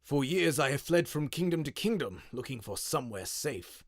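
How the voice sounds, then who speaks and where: A man voicing a frail, sad old inventor who speaks slowly and gravely, in a musing, resigned way, for an audio story. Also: mid-range voice, very animated, dry recording, clean studio sound.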